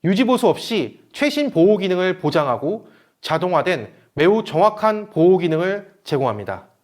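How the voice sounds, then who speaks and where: A man's voice: sits high, sing-song, normal speed. A man giving a scripted webinar presentation in a formal, explanatory manner. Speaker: presenter, company manager.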